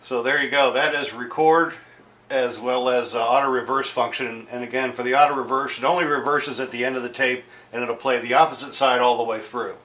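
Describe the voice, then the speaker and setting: A man's voice: mid-range voice, fairly even intonation, fairly quick, bright, forceful tone. A man giving a casual product demonstration, explaining in a rambling way and often correcting himself mid-sentence. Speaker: vintage audio gear seller demonstrating a tape deck. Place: indoor room at home, beside stereo gear. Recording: roomy echo with noticeable background noise.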